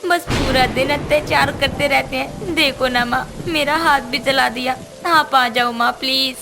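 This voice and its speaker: A woman's voice: sits high, steady tone, measured pace, music in the background. A woman playing a put-upon young daughter-in-law in a cartoon moral story, dramatically voiced. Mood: tearful, pleading. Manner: dramatic, swings from meek pleading to menace. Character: put-upon young daughter-in-law, tearful, turning fierce when possessed.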